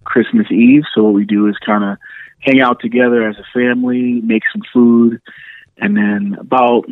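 A man's voice: mid-range voice, fairly even intonation, normal speed, no echo. Man on a tinny phone line. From New York City. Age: 30s. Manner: chatty, laughs while telling stories. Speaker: podcast guest. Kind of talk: casual phone interview.

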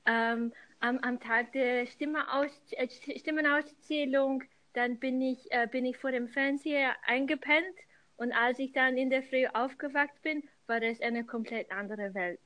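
Woman from london on a podcast call, kinda hesitant, lots of restarts, higher voice. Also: steady tone, unhurried, fairly bright tone, rough recording. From London, England. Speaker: podcast co-host. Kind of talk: casual conversational podcast.

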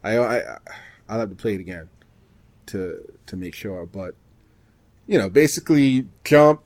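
A man co-hosting a podcast, chatting casually about gaming in a halting way, often rephrasing himself mid-thought. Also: on the low side, expressive, darkish tone, no echo. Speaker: podcast co-host.

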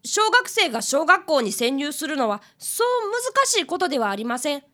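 A woman plays an eager fifth-grade boy detective for a radio drama. The voice is high and bright, swinging freely in pitch. He speaks politely and earnestly and gets flustered easily. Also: big pitch swings, moderate pace, full character voice. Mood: dismayed, explaining. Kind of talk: radio drama acting with first-person narration.

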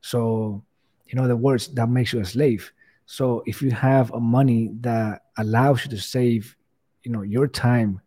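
Man, husky and mellow. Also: mid-range voice. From Cuba. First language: Spanish. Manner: earnest, many "you know" fillers and restarts. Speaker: podcast guest. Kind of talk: casual interview talk.

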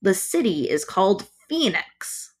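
A woman's voice: mid-range voice, sing-song, a little slow, breathy edge. A woman voicing a tall, skinny rooster-footed male demon who chides and sneers at his companion. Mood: scornful. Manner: sneering, chiding.

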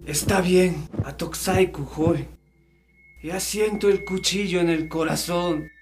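A man playing a dying old Andean ritual dancer and master in a radio drama, solemn and halting. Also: high voice, steady tone, a little hiss or hum. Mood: solemn, visionary.

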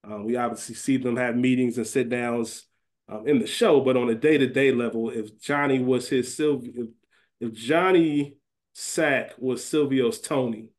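A man's voice: mid-range voice, fairly even intonation, measured pace, a little mellow. A man co-hosting a podcast in a casual chat style, emphatic and often repeating his phrases. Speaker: podcast co-host.

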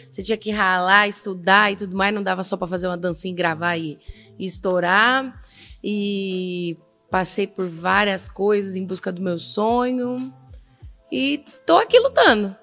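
São comedian lady, joking around on a podcast, kinda gravelly voice. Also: mid-range voice, fairly bright tone. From Brazil. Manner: joking, reflective. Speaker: comedian guest. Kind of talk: casual podcast chat.

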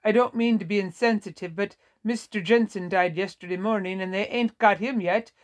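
Audiobook nurse role, acted by a woman: Vietnamese-accented woman, raised pitch, folksy, weary, apologetic and emphatic. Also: moderate pace, lightly acted. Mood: regretful, strained. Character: short Vietnamese woman nurse, sorrowful, weary, plain-spoken. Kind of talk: audiobook character dialogue.

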